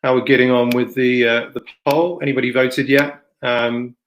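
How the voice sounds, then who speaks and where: A man hosting an online event in a casual, unscripted way, with halting, informal delivery. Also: medium pitch, little pitch movement, rough recording. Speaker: event host and moderator. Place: online video session. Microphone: computer microphone, plugging in another mic partway.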